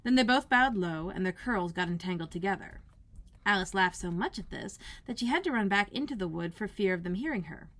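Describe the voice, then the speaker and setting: A woman narrates a children's storybook. Her pitch sits in the middle for a female voice, and she reads expressively, as a school librarian does for young listeners. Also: expressive, a little fast, fairly bright tone. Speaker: elementary school librarian reading aloud.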